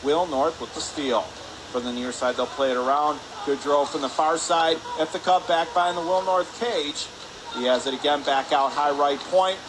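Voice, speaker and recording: A man giving live radio-style hockey play-by-play in clipped phrases, getting excitable on big plays. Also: a little high, moderate pace. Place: indoor ice hockey arena. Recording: clear rink noise behind. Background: arena ambience and noise.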